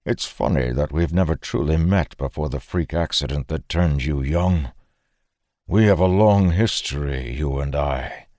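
A vengeful rebel leader, played by a male narrator, snarls with venom and harsh bitterness. His voice rises above the teller's low register in a close, dry fantasy audiobook. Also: measured pace, a little mellow, no echo. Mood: bitter.

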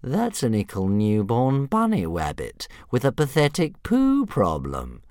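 A man's voice: medium pitch, huge pitch swings, measured pace, a little mellow, dry recording, clean studio sound, voice pushed up. A man voicing a vain, bullying female dragon who drawls with fake modesty. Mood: smug.

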